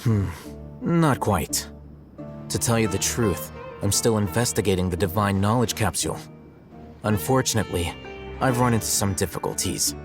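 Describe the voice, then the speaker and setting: An actor playing an aloof, analytical young male scholar in a video game, speaking in a measured, formal, dryly probing way. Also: fairly low pitch, expressive, over music, lightly acted. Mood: cool, probing. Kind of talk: video game cutscene acting.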